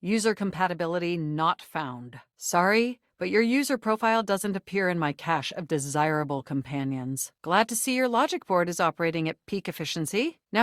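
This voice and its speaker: A woman's voice: on the low side, lively intonation, normal speed, few pauses, no echo, studio quality, lightly acted. A woman voicing a sentient home computer in a dating video game, nerdy and romantic, with flirtatious, theatrical delivery and formal phrasing. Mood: cold, dismissive. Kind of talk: video game character voice acting.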